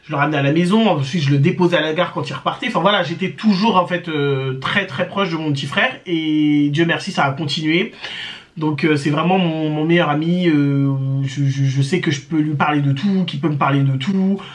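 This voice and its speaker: A woman's voice: low voice, a little fast. Chatty woman telling a story, lots of fillers. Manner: hesitant, many fillers and restarts; chatty, addresses viewers directly. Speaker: storytime vlogger. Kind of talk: casual storytelling to camera.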